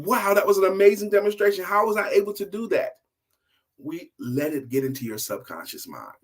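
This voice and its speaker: An older man hosting a story show, telling informal motivational bedtime stories in a deliberate manner, repeating phrases and checking in with the listener. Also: mid-range voice.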